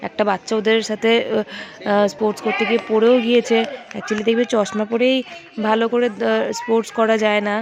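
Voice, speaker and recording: A woman's voice: on the high side, flat delivery, brisk, gravelly, dull audio. A woman in her thirties casually narrating her son's school sports day, chatty and mixing English words in.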